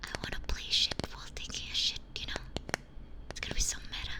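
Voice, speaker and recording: A woman livestreamer whispering breathily and close to a binaural microphone in a playful, casual ASMR chat, often repeating short phrases. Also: fast talker. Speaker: livestreamer hosting an ASMR stream. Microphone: close binaural 3Dio microphone.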